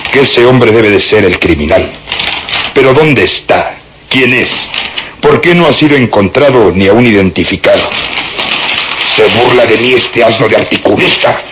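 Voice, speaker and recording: An actor playing a pompous, harried police inspector in a melodramatic radio serial, with declamatory delivery. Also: male voice, fairly low pitch, expressive, normal speed, close to an everyday voice. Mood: exasperated. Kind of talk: melodramatic Spanish-language radio serial acting. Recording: noisy radio serial recording.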